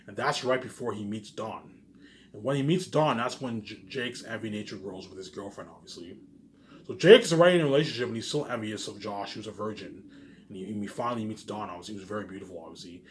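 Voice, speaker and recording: A man rattles through a casual, rambling monologue for his viewers at a rapid clip. He is self-assured and boastful, circling back over his points and trailing off into short asides. The recording has faint background noise. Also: on the low side. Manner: repetitive, ends phrases with trailing asides. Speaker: vlogger.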